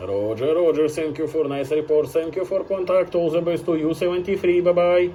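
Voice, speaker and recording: High-voiced man on a noisy ham radio. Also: brisk. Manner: repeats short stock phrases, polite. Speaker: amateur radio operator. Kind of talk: formulaic ham radio contact exchanges with spelled call signs. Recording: very noisy radio static and clicks.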